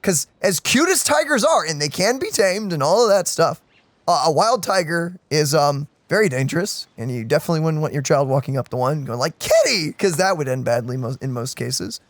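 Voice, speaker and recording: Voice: mid-range voice, lively intonation, fairly quick, no echo. A let's play commentator chatting casually and playfully, rambling informally while reacting to a game. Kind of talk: casual gameplay reaction chat. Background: faint game music.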